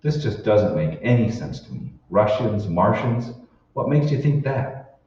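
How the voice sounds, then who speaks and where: Heard from far off in a very echoey room, a low male voice swings widely in pitch. It is an actor as a bewildered, skeptical ordinary husband in a radio play, full of puzzled questions. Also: expressive, a little slow, dark tone. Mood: skeptical, startled. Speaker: amateur stage actor. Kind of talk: old-time radio drama acting. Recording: roomy, distant, dull hall recording with hiss.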